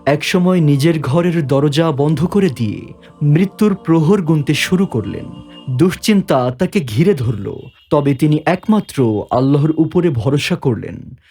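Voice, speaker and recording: Higher-pitched male story narrator over music. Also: animated, measured pace, dark tone. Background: background music.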